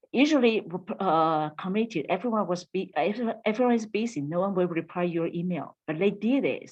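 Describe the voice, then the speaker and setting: A woman speaks in a low voice, stopping often with uhs as she searches for the right English words. Her audio is dull and lo-fi. She tells her story in a plain, earnest way. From Taiwan. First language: not English. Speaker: language faculty panelist. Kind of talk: Q&A panel anecdote.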